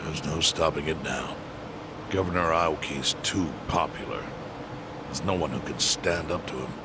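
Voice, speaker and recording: An actor playing an elderly, world-weary chairman in a video game, with a measured, dry, pragmatic manner over background noise. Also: extremely low pitch. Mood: grave, resigned. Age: older. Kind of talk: English video game voice acting.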